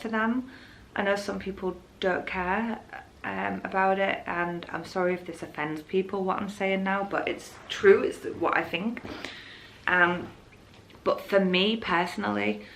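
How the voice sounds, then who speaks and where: A woman's voice: medium pitch, creaky, a bit bright, a little hiss or hum, rough recording. A young woman with a Yorkshire accent, chatting casually and candidly in a rambling way to her vlog viewers. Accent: Yorkshire English. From Hull, Yorkshire, England. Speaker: vlogger.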